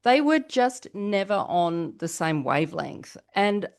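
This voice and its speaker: A woman's voice: fairly low pitch, sing-song, slow. A woman hosting a podcast, giving casual, chatty reality TV gossip commentary and putting questions to the listeners. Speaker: podcast host.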